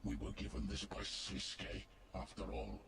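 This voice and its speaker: An actor playing a grave guardian of the souls of the dead in a fantasy video game, speaking formally and solemnly. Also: male voice, low voice, very animated, a little slow, creaky, full character voice. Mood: grave, cautious. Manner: formal, solemn, archaic phrasing. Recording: noisy background ambience.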